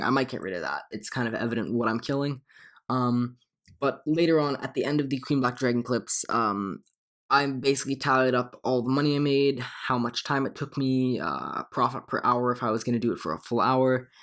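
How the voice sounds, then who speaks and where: A slightly hoarse young man with a cold, talking casually over game footage as a channel host, rambling with frequent ums and restarts. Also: medium pitch. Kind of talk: casual gameplay commentary.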